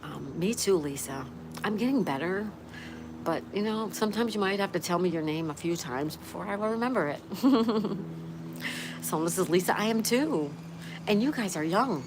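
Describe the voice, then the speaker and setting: A woman's voice: mid-range voice, lively intonation, moderate pace, noisy background. A woman in her sixties hosting a casual livestream, chattily reading out comments and greeting viewers. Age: 60s. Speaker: livestream host.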